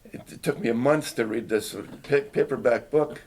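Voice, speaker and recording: A man's voice: mid-range voice, moderate pace, a little mellow. An older man whose first language was Finnish, recalling his childhood in a hesitant, reflective oral history interview. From Canada. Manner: hesitant, reflective, frequent restarts and throat clearing.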